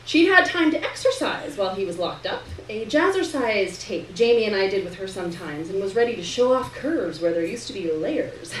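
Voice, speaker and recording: A woman reads first-person fiction aloud with expressive, dramatic delivery. Her pitch is mid-range, and she sounds a little way from the mic in an echoey room, with faint noise in the recording. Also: normal speed, hardly any pauses. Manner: dramatic delivery, acting out dialogue. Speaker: reader of fiction at a live literary event. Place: indoor room at a live reading. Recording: roomy, distant live recording with noise.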